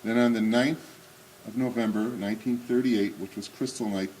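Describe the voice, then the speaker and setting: A man in his sixties giving a remembrance presentation at a synagogue, showing slides and reading memoir excerpts aloud, with frequent pauses and fillers. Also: fairly low pitch, fairly even intonation, darkish tone, a little hiss or hum, rough recording.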